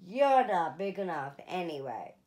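A woman's voice: on the low side, very animated, a little slow, darkish tone. A woman voicing a grouchy, boastful little ladybug that brashly calls out for a fight, from a children's storybook. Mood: dismissive bluster. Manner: brash, challenging, calling out.

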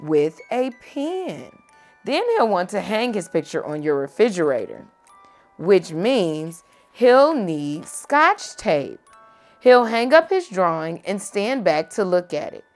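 A young woman reading a picture book aloud to a child at home, gentle and expressive. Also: medium pitch, takes it slowly, soft background music. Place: home bedroom, indoors.

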